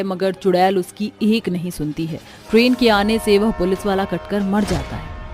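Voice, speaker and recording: A woman narrating a cartoon horror story in an even, dramatic storyteller's manner. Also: mid-range voice.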